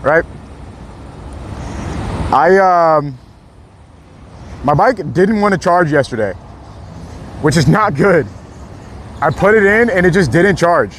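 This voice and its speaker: A man with a hoarse, worn-out voice chatting casually to his live-stream viewers outdoors on a city street. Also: a little high, expressive, slow pace, pauses often. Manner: chatty, laid-back, talks straight to viewers. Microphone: small clip-on mic. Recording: loud street noise outdoors.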